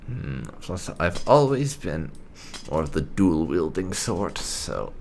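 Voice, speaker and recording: A man's voice: low-pitched, big pitch swings, slow pace, vocal fry, a little mellow, slight background noise. A man hosting a game playthrough, commenting on his choices and talking partly in character as he roleplays. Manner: talks in character while narrating his choices. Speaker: gaming channel host.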